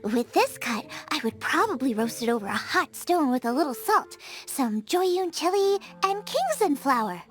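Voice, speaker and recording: Give me a headstrong young female chef with a lively, high voice. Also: normal speed, few pauses, faint music behind. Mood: explaining. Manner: bubbly but stubborn. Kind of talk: video game cutscene dub.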